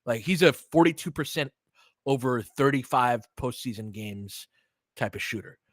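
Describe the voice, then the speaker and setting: A man's voice: mid-range voice, expressive, normal speed, no echo, studio quality. A man calling a basketball game in a conversational, slangy way, swearing casually as he mixes play-by-play with analysis. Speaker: podcast host doing play-by-play.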